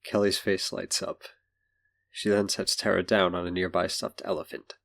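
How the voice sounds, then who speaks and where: A man's voice: sits low, flat delivery, normal speed, vocal fry, a bit bright. A man delivering scripted narration as the narrator of an audio drama.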